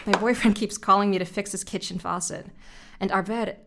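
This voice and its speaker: Audio drama daughter, female actor: young adult, wry, impatient, independent, mid-pitched, noticeable rain behind. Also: steady tone, fairly quick, natural voice. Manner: impatient, sarcastic. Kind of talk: naturalistic audio drama acting. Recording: clearly audible rain noise behind voice.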